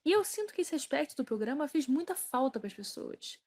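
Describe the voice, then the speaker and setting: Brazilian female podcast host, scripted comic monologue, ironic and deadpan, with plenty of vocal fry. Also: high-pitched, a little fast.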